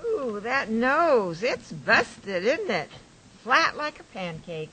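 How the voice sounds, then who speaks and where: A woman as a slow, bright, blunt onlooker. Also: fairly high pitch, very animated, breathy edge, heavily acted, low-quality recording. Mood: frank. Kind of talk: radio drama acting.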